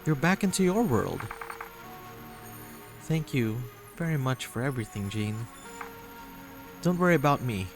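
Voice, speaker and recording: An earnest, lovestruck visual novel character, acted by a man, sweet and a little anxious. The delivery is expressive and tender, with music playing clearly behind it. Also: mid-range voice, unhurried, long pauses, pitched above the performer's own voice. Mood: relieved, grateful. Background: background music.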